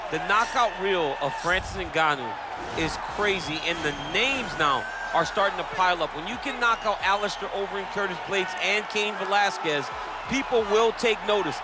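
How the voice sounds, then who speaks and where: A man's voice: high-pitched, moderate pace. A man giving color commentary on a fight, with emphatic, admiring analysis. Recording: loud arena crowd and noise behind.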